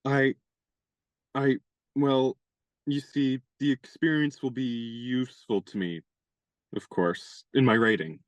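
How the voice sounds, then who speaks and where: An eager young man in a radio play. The voice is very deep and dryly recorded, and the manner is awkward and hesitant, tripping over the starts of sentences. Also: steady tone, takes it slowly, long pauses, dry recording. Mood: flustered, surprised. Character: eager, awkward young man, shy would-be suitor and writer.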